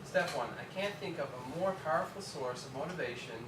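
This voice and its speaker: A man's voice: a little high, fairly quick, lightly acted. A man playing a motivational speaker, reading a self-help monologue at a table read and stumbling a little over the script. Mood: instructive. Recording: distant, echoey room with background noise.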